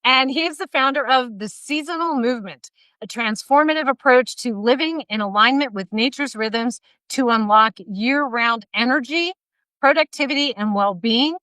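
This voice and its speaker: A woman in her fifties hosting a casual interview over a video call, energetic and laughing often. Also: fairly high pitch, normal speed, no room sound, low-quality recording. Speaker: podcast host. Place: bright room at home, indoors. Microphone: video call, laptop mic.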